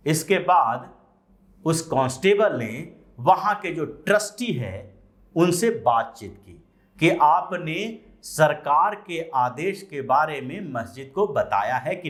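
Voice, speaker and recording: A man presenting news commentary, explaining the story in a deliberate way with drawn-out phrases and pauses. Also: on the high side, fairly bright tone.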